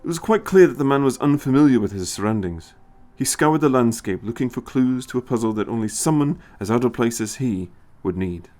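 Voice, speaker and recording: A man's voice: medium pitch, animated, fairly quick, few pauses, dark tone. A man reading scripted story narration as the narrator.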